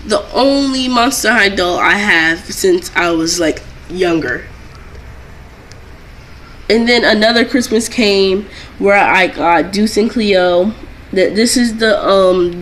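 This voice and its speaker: A woman's voice: medium pitch, fairly bright tone, a little hiss or hum, poor audio. A young adult woman telling a casual, chatty story to viewers, rambling and often circling back to repeat herself. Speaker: doll collector vlogger telling a story.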